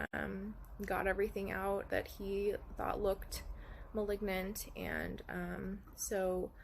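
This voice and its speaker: Hoarse, gravelly young woman, halting. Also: mid-range voice, slight background noise. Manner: soft, halting, many ums, clears her throat often. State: hoarse and raspy after neck surgery. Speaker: vlogger sharing her recovery. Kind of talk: casual personal update to camera. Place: at home.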